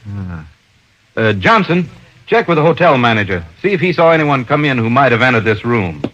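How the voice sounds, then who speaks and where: An actor playing a steady, folksy American small-town sheriff in an old-time radio drama, speaking in an unhurried way. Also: male voice, medium pitch. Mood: businesslike. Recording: old radio recording, dull limited band.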